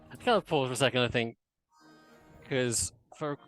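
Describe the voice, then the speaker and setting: A man playing a tabletop role-playing game, improvising casually in a hesitant, halting way. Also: medium pitch, a bit bright. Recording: music playing under the voice. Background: background music.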